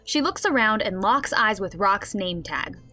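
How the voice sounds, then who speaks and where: A woman's voice: medium pitch, animated, unhurried, bright, forceful tone, soft background music. A woman playing a game master who narrates a comic fantasy tabletop game in a scripted radio drama. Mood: storytelling.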